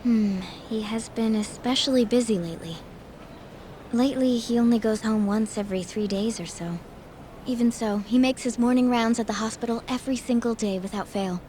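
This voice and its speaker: An actress playing a polite, earnest, reserved researcher in an English video game dub, measured and formal. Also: female voice, on the high side, mellow, a little hiss or hum, close to an everyday voice. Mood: earnest, admiring.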